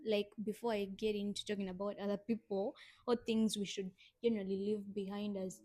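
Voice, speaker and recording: A woman's voice: mid-range voice, level tone, brisk, dark tone, no room sound. A young woman co-hosting a casual podcast chat, reading prompts aloud and laughing often. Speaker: podcast co-host.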